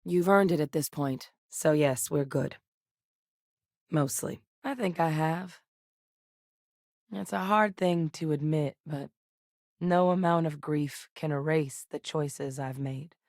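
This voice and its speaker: A sincere woman scientist from a sci-fi game, with a notably low pitch for a woman. She speaks in a measured, quietly intense way. The sound is dry and close, with no room around it. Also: no echo. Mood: subdued. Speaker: game character. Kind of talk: game voice lines. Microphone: close studio mic.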